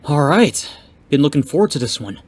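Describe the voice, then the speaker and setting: Man playing an animated, husky young martial arts pupil. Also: on the high side, moderate pace. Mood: eager. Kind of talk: fan audio drama acting.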